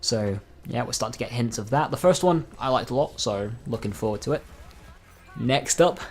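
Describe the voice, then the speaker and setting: A man with a medium-pitched voice gives casual commentary on gaming news. He speaks informally, as a video creator does to viewers, and slips in dry, joking asides. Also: lively intonation, normal speed, faint music behind.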